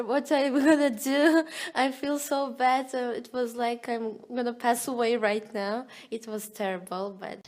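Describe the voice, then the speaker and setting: A young woman student telling her story in a hesitant, halting way, full of fillers. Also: a little high, a little mellow. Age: young adult. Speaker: university student interviewee. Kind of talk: interview answers.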